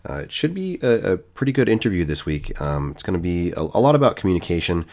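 A man's voice: deep voice, fast, lo-fi sound. A man in his forties hosting a podcast, talking casually and rambling a bit while stuffed up with a cold. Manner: relaxed, rambling, many fillers and restarts. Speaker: podcast host. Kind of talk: casual solo podcast monologue.

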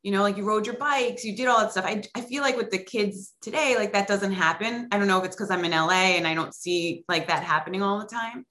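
A woman's voice: medium pitch, a little fast, hardly any pauses, pushed and bright. A middle-aged woman from Long Island, chatting as a podcast guest over a remote call, with lots of likes and ums. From Long Island, New York. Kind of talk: casual interview chat.

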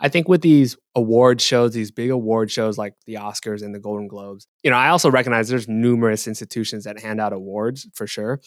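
A man's voice: fairly low pitch, fairly quick, few pauses, no echo, studio quality. A man speaking as a guest on a podcast, talking things through thoughtfully with hedges and restarts. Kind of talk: casual podcast discussion.

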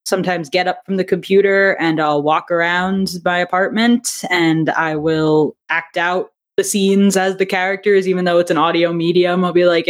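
Woman chatting casually as an interview guest. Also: lowish voice. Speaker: interview guest, audio drama writer and director.

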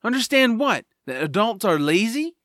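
A man voicing an eager, earnest young boy hero with an excitable manner in a family fantasy audio drama. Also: sits high, normal speed, no room sound, studio quality, pitched above the performer's own voice. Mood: exasperated, pleading.

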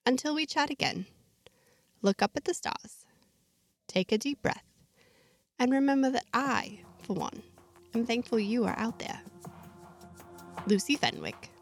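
A woman narrating a first-person story in a measured, reflective, diary-like way, ending with a scripted sign-off. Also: on the high side, big pitch swings, faint music behind.

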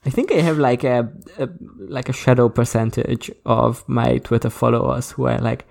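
A woman co-hosting a podcast, her first language Hungarian, with a gravelly, fry-touched voice. She rambles along in casual chat, restarting her sentences and leaning on fillers. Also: a bass voice, fairly even intonation, normal speed, darkish tone. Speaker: podcast co-host.

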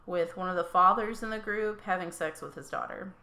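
A woman's voice: lowish voice, brisk, sharp tone. Hesitant young woman in an echoey room. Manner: hesitant, many ums, reads out facts. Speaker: podcast co-host. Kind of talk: casual true-crime chat.

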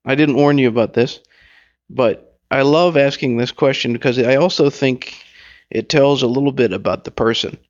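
A man's voice: medium pitch, normal speed, highs cut off. A man hosting a radio interview, asking enthusiastic, rambling questions. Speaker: radio host.

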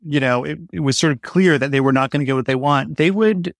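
A man's voice: fairly high pitch, quick pace, no room sound, clean studio sound. A man speaking as an interview guest, explaining his creative work in a casual, reflective way. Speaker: interview guest, audio drama writer.

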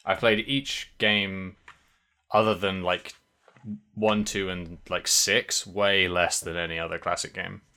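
Low, bright-voiced male podcast co-host. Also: flat delivery. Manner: halting, many restarts and fillers. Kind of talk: casual chat.

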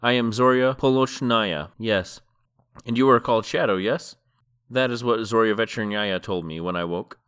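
A quiet, mysterious young woman from an audiobook, read by a man in his own low voice. She speaks softly and gently, with an unhurried calm. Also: smooth, close to an everyday voice. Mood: gentle. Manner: soft and gentle.

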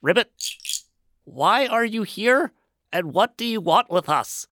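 Actor playing little male frog, audio drama, animated, unhurried, croaking ribbits, polite, dry close sound. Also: mid-range voice, no echo. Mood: wary, curious. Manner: punctuates speech with croaked ribbits. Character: small talking male frog, polite and curious companion.

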